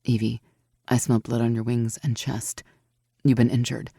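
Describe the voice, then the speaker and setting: A woman voicing a strong, protective warrior woman who speaks low and soft in a storybook. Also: flat delivery, normal speed, gravelly, husky, dry recording, studio quality, heavily acted.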